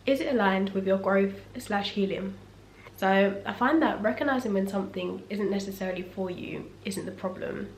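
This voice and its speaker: A young woman with a husky voice from a cough, talking in a relaxed, reflective sit-down vlog at home. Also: medium pitch, slight background noise. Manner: relaxed, reflective and faith-focused. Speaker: vlogger. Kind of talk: casual sit-down talk. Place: room at home. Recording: echoey room, faint ambience.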